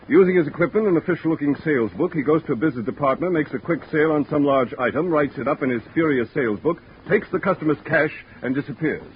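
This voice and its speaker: A man's voice: on the high side, natural voice. An actor playing a fussy, formal male company manager dictating a letter in a stiff, officious way, in 1940s radio style. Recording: dull, noisy vintage radio recording.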